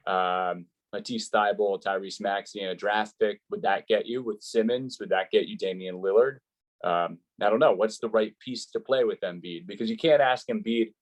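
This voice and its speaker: A man who works as a sports announcer, speaking as an interview guest in an articulate, explanatory, conversational way. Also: fairly low pitch.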